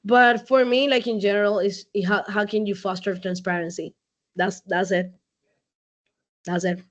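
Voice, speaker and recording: Brief: woman CEO, relaxed chatty guest, laughs easily, mid pitch, slightly dull video-call audio. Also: moderate pace. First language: Spanish. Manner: chatty, laughs often, many fillers. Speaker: guest speaker, company CEO. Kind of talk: casual online talk.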